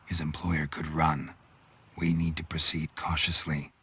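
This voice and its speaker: A stoic monster hunter from a fantasy video game. His voice is extremely low and heavily rasping, and he speaks in terse, clipped phrases with gruff restraint. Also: level tone, unhurried, a bit bright, exaggerated voice. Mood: wary, serious. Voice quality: low gravelly, husky voice. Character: stoic, gruff male monster-hunting detective. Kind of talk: cinematic fantasy game dialogue.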